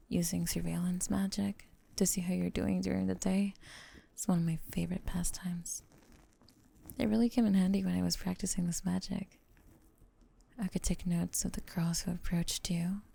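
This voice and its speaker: A young woman playing a lovestruck, possessive lamia roommate in an intimate ASMR roleplay, whispering slowly and tenderly in a breathy, coaxing way. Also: on the low side, dark tone, full character voice. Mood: quietly sinister.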